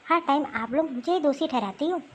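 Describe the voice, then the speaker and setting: Wronged cartoon daughter-in-law, played by a woman: high-pitched, pleading yet firm, defending herself. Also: normal speed, slight background noise, close to an everyday voice. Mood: protesting. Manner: pleading, protesting, explanatory. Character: wronged young wife, honest, firmly defending herself. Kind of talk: Hindi cartoon family drama acting.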